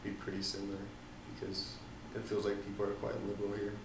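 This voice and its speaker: A young man from the Netherlands answering interview questions casually, pausing often with ums and likes. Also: fairly low pitch, monotone, gravelly, echoey room, recorded from a distance, noisy background. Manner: hesitant, many ums and likes.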